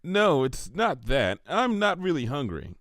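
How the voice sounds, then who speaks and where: Studious cartoon girl pony voiced by a male reader: weary, precise, well-meaning, lightly raised pitch. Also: sing-song, a little slow, fairly bright tone, dry recording, studio quality, full character voice. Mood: apologetic. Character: bookish, exasperated, well-meaning young female pony.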